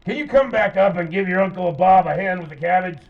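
Man playing a distant, high-pitched calling father. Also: animated, brisk. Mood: calling, insistent. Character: father calling out impatiently to his daughter. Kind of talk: audio drama. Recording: distant, dull muffled sound.

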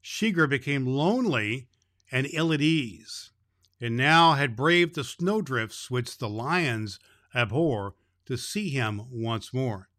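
A man's voice: mid-range voice, animated, slow pace, a bit bright, no echo, clean studio sound. A man reading a children's story aloud as a storyteller, expressively, with small stumbles over the words.

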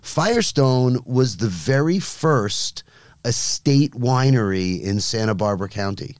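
A man's voice: mid-range voice, animated, a little slow, dry recording, clean studio sound. A man co-hosting a podcast, casually chatting and explaining wine facts. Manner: explanatory, informative about wine. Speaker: podcast co-host. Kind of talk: casual chat.

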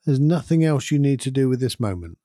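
A man guides a meditation with a mellow, relaxed voice at a medium pitch. He speaks calmly and soothingly, giving gentle instructions without hurry and leaving long pauses. The sound is dry and close, with no room around it. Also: dry recording. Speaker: meditation guide and podcast host.

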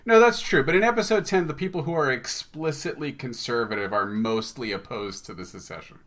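A man's voice: mid-range voice, a little fast, a bit bright. A man speaking casually as an interviewed audio drama creator. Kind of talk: casual conversation.

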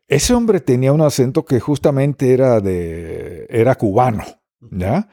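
A Dominican man with a largely neutralized accent speaks at a deliberate, slow pace, pausing often and filling gaps with soft hesitations. His voice is dark and mellow. It is recorded close and dry. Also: mid-range voice, no echo. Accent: Dominican Spanish, mostly neutralized. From Dominican Republic. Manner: unhurried, many pauses and fillers. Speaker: radio broadcaster, interview guest. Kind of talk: reflective interview answers.

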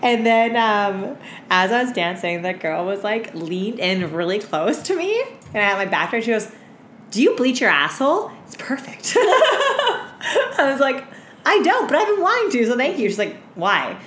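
A woman's voice: fairly high pitch, sing-song, normal speed, fairly bright tone. A woman co-hosting a podcast, chatting crudely and comically with plenty of slang, swearing and giggles. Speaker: podcast co-host. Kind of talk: unfiltered comic chat and anecdotes. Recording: roomy echo with background noise.

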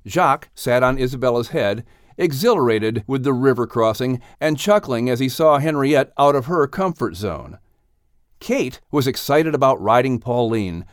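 Male narrator for children's story. Animated melody, medium pitch, close studio-dry sound. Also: moderate pace, no echo. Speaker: audiobook narrator.

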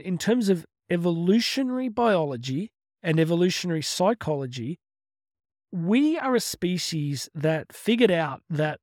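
A man, giving an energetic, conversational motivational podcast talk on his own and often restarting his words. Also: on the high side, no room sound, clean studio sound. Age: 50s. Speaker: motivational speaker. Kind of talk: solo motivational podcast monologue.

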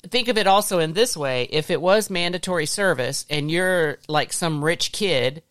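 An American podcast host with a slightly gravelly voice, talking casually and explaining points from notes in an organized way. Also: mid-range voice, normal speed, a bit bright, no room sound.

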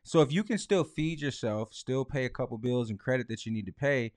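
Man, late thirties, medium pitch, blunt, informal, emphatic, slangy podcast co-host, dry close sound. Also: fairly quick, a little mellow, dry recording. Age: late 30s. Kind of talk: casual conversational chat with slang and swearing.

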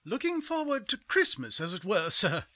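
Meek cheerful clerk in radio drama, super animated and sharp, very polite. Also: male voice, high voice, normal speed, full character voice. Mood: eager, deferential. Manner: deferential, eager. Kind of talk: theatrical radio play acting.

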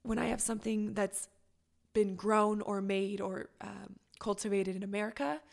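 A woman hosting a podcast, chatty and asking questions from her own experience, with her voice going hoarse. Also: mid-range voice, fairly even intonation, moderate pace. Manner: chatty, asks personal questions. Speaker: podcast host. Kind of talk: casual interview chat.

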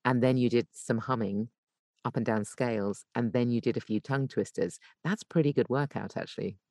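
A woman's voice: rumbling low, brisk, darkish tone, dry recording, clean studio sound. A woman giving explanatory advice as a guest voice coach in a podcast interview, thinking aloud and rephrasing as she goes.

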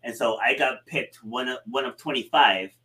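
A man in his forties telling stories in a relaxed podcast conversation, laughing easily. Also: mid-range voice, moderate pace, low-quality recording. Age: 40s. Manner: storytelling, animated, laughs easily. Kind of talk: casual conversation.

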